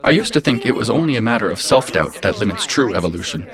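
A man's voice: lowish voice, expressive, quick pace, lightly acted. An actor playing an earnest artificial intelligence in an audio drama, logging his thoughts in precise, formal phrases. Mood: troubled. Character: earnest male artificial intelligence caring for a Mars colony family. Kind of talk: audio drama personal log narration.

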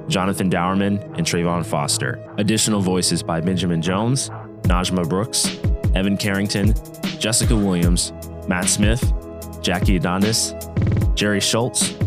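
A man's voice: sits low, moderate pace. A man reading scripted show credits as an announcer. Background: music with guitar.

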